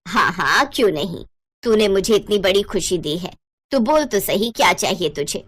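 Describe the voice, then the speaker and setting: An older mother-in-law in a family bedtime tale played by a woman storyteller. She is loving yet easily exasperated, fretful and plaintive, in a lowered voice. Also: lively intonation, moderate pace, a bit bright. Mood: excited, affectionate.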